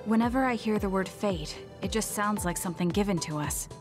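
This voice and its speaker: A woman's voice: medium pitch, brisk, close to an everyday voice. An actress playing a cool, blunt young female psychic soldier in a game, speaking in a reserved, matter-of-fact way, with music behind. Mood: calm. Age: young adult. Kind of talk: video game dub acting.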